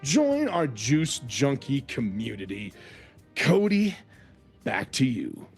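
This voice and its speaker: A man hosting a casual podcast, excitable and quick to laugh, often stumbling over his words. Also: mid-range voice, faint music behind. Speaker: podcast host. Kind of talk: casual sports podcast chat.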